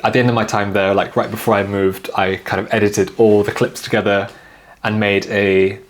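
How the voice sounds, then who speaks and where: A man's voice: lowish voice, moderate pace. A young man in his twenties who grew up in China, talking casually as an interview guest, often repeating words and filling with "like". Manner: relaxed, repeats words, many "like" fillers. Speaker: guest videographer.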